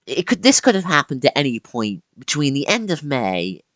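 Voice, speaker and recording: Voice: medium pitch, very animated, normal speed, a bit bright, no echo. A YouTuber narrating a scripted video essay with casual asides and dramatic emphasis on key words.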